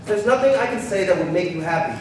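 A young man playing the defensive, resentful grown son of a refugee mother in a staged play reading, sometimes halting. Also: sits high, expressive. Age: young adult. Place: large glass-walled hall, on stage. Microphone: handheld microphone through PA speaker. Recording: echoey, distant, noisy live stage recording.

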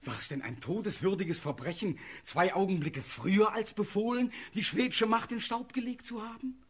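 An actor playing a proud, passionate young prince condemned to death, declaiming verse in a husky, rough voice in a radio play. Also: male voice, high voice. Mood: indignant. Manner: declamatory, impassioned, pausing for effect.

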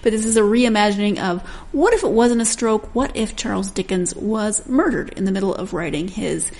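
A woman's voice: medium pitch, normal speed, creaky, a little mellow. A woman hosting a book podcast in a conversational style. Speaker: podcast host.

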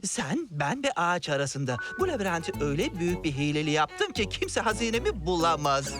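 A woman voicing a gleeful, scheming labyrinth troll in an animated children's cartoon dub, theatrical and gloating. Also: fairly low pitch, fairly quick. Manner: theatrical, gloating, sighing and cackling. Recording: loud music under the voice.

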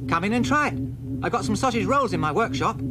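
A man's voice: sits high, animated, a loud crowd, voice pushed up. A man voicing an eager young boy from Earth who builds spacecraft models, in a storybook reading. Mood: friendly. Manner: brisk radio talk.

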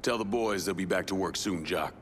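An actor playing a cool, hard-bitten criminal avenger in a video game, speaking tersely and unimpressed. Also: male voice, sits low, fairly quick, a little hiss or hum, lightly acted.

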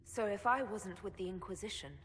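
A woman's voice: mid-range voice, a little fast, natural voice. A woman playing a reserved, questioning female elf hero in a fantasy video game, guarded and understated. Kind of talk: dramatic fantasy game acting. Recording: echoing reverb, faint background noise.

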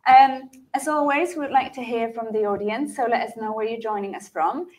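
A woman with a higher voice hosts a live online session. She sounds upbeat and welcoming as she introduces her guests and greets viewers. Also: a little fast, a little mellow. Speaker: host.

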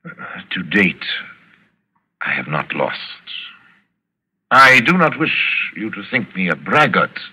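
An actor playing a cultured, sinister general who hunts men, gloating with mock courtesy in theatrical old radio style. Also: male voice, deep voice, big pitch swings, slow, long pauses, pushed and bright, slightly rough, heavily acted. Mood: smug, menacing. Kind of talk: 1940s radio acting. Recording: old radio drama recording.

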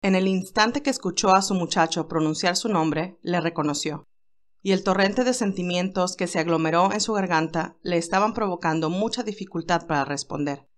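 A woman's voice: fairly low pitch, moderate pace. A woman reading a scripted story aloud as an audiobook narrator.

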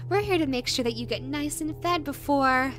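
A woman's voice: high voice, normal speed, a bit bright, soft background music. A woman voicing a possessive, sweetly menacing yandere rabbit woman in a roleplay audio drama, coaxing and condescending in a saccharine way.

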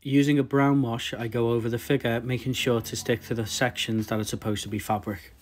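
A young man with a sore throat, quietly talking through how he customizes an action figure. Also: medium pitch, little pitch movement, brisk, faint music behind. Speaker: toy customizer host. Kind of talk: casual tutorial narration.

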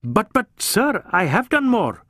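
Man playing Indian police inspector, high voice, stammering, deferential, anxious to please, audiobook character, dry sound. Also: very animated, fairly bright tone, dry recording, exaggerated voice. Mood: anxious, earnest. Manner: halting, stammering, repeatedly saying sir.

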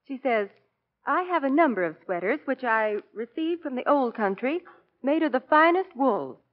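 A woman's voice: sits high, expressive, normal speed. A woman spokesperson delivering a scripted 1940s radio commercial. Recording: dull 1940s radio broadcast.

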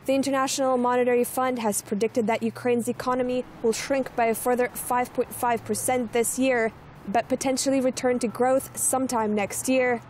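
Flat, mellow female newsreader. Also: on the high side, normal speed, slight background noise. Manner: steady, even read. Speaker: news reporter. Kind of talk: scripted news narration.

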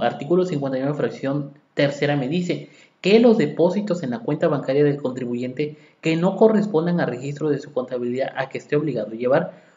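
A man's voice: on the high side, warm tone. A Mexican accountant lecturing on tax law, reading legal articles aloud and then explaining them with rhetorical questions to his listeners. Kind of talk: podcast lecture. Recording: echoey room, dull lo-fi sound.

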